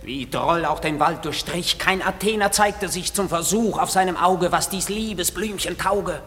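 A man's voice: high voice, normal speed, a little hiss or hum. A man playing a mischievous sprite servant in a classic German radio play, speaking theatrical rhymed verse. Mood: searching, sly. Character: mischievous male sprite servant of the fairy king. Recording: long hall reverb, loud music behind.

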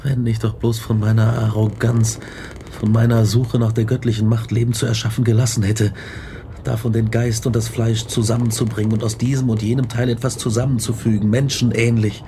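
An actor playing a tormented, guilt-ridden young scientist in a German radio drama, grave and intense. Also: male voice, medium pitch, little pitch movement, a little fast, mellow, a little hiss or hum, natural voice. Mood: anguished, remorseful. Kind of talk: German radio drama acting, narration and dialogue.

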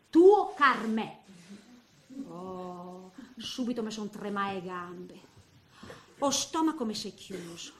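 A high, sharp-edged old woman of eighty, tells her story on stage in a regional Italian accent. She is sentimental and feisty, rambling in colloquial exclamations and muttered asides, and the room rings with echo. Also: full character voice. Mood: proud, embarrassed, then tearfully moved. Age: middle-aged. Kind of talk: theatrical comic monologue. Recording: echoey stage recording with faint noise.

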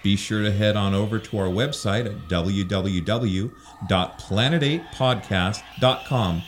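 A man reading a scripted podcast sign-off in a brisk, even way. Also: lowish voice, slight background noise. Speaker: podcast outro announcer.

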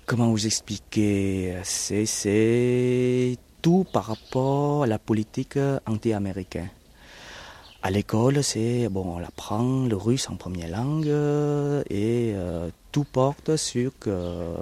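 A man from South Vietnam speaking, recounting his past in an interview with frequent hesitant pauses and fillers. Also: fairly low pitch, warm tone. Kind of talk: recounting personal history.

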